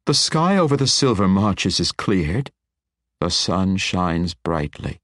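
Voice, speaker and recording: A man voicing a despondent male dark elf wizard who speaks slowly and mournfully. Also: on the low side, husky, dry recording, studio quality. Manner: slow, mournful, hushed.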